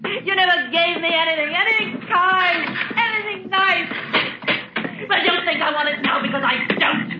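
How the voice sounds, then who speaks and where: An actress playing a bitter, drunken, middle-aged colonial wife with a mocking, theatrical manner in a drama. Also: female voice, very high voice, moderate pace, over loud music. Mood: anguished, tearful. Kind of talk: dramatic acting. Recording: dull lo-fi sound with faint noise.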